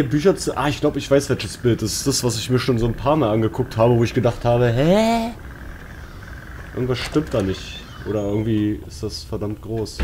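A man's voice: medium pitch, darkish tone, a little hiss or hum. A man with a German accent giving casual, jumpy commentary on a horror game, often talking to himself and exclaiming. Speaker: let's player. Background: game music and sounds.

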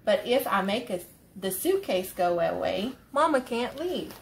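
Woman reading as eager little boy bunny, high-pitched. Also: big pitch swings, unhurried, a little hiss or hum. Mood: determined.

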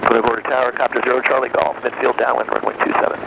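Gravelly male pilot over a static-filled radio. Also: mid-range voice, steady tone. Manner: hurried radio readbacks. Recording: loud static on a radio transmission.

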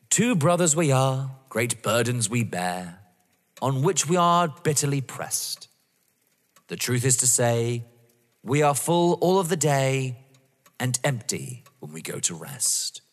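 Casting: male actor as vengeful, taunting young man, audio drama. Mocking, highly animated intonation, mid pitch, reverberant sound. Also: takes it slowly, pauses often. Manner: mocking, theatrical, toying. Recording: long reverb.

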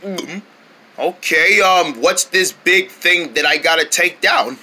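A man's voice: high voice, takes it slowly, sharp tone, heavily acted. A man voicing a nervous, goofy monkey who blusters and repeats himself in an amateur cartoon skit. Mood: hesitant. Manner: jittery, exclaiming, repeats himself. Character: nervous goofy monkey named Drone, blustering, reluctant fighter.

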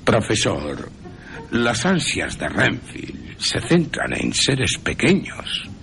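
A man voicing a grave, assured professor who hunts vampires, speaking emphatically and deliberately in a radio play with music underneath. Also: lowish voice, huge pitch swings, creaky, a bit bright, voice pushed up, full character voice. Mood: assured, probing.

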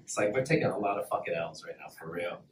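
Young man, stand-up comedian, brash and profane, lively swooping delivery, roomy club heard from far back. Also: low-pitched, fast, a little hiss or hum. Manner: brash, profane, laughs between punchlines. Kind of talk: stand-up set at an open mic. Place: small comedy club stage, in front of an audience. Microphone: handheld mic, filmed from far back in the room. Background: audience laughter.

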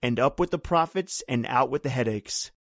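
An actor playing a bitter, grim island native who tells an old horror tale in brooding, rhythmic, folksy phrases, in old-time radio drama style. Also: male voice, mid-range voice, normal speed, dry recording, clean studio sound, lightly acted. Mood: grim, intense.

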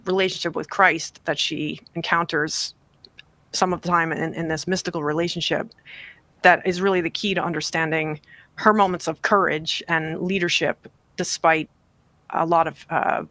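A woman in her forties, an author guest on a talk show, speaking in a thoughtful, measured way with frequent fillers, from a home study on a desk mic. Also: sits low, steady tone, a bit bright, no echo. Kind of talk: interview on radio show. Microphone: desk podcast mic on boom, video call.

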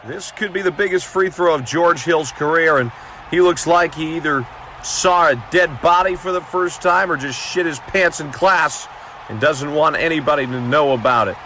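A man's voice: on the high side, normal speed, sharp tone. A man doing mock basketball play-by-play as a parody sports commentator, with a profane, bombastic announcer delivery. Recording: noisy, game crowd and court sounds. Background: basketball game ambience with bouncing ball.

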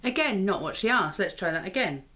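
Lively woman chatting. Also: medium pitch, fairly quick. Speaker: parent reviewing a toy with a child. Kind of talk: casual toy review chat. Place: living room sofa, indoors. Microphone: camera microphone at a short distance. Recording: home camera recording with faint noise.

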